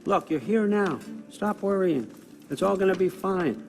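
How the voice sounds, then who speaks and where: A man's voice: sits high, a little slow, creaky, a little mellow. An actor playing a sly, powerful older god-king in a video game, offhand and commanding. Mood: breezy reassurance. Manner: offhand, wry, talking like a busy boss. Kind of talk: naturalistic video game cutscene acting. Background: background music.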